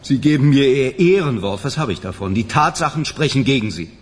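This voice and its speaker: An actor in a radio play voicing a stern, accusing police general with a clipped, authoritative way of interrogating. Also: male voice, medium pitch, lively intonation, fairly quick, natural voice. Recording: long hall-like reverb.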